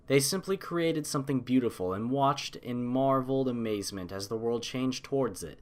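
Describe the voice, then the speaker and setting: A man narrates a piece of fiction in a medium-pitched voice. His delivery is scripted and literary, earnest in tone and dramatic in its phrasing, as in an audiobook.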